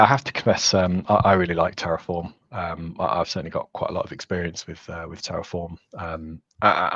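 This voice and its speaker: Croaky, low-voiced man presenting. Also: no echo, highs cut off. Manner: relaxed, informal, frequent fillers. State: hoarse, losing his voice. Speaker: guest presenter. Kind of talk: webinar presentation. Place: home office, indoors.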